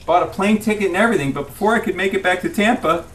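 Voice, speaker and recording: A man's voice: sits high, very fast. A man voicing a tiny, drunk, self-pitying pianist with bitter, anguished outbursts in a live comedy reading. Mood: bitter, hurt. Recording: live stage, roomy, distant, loud audience noise.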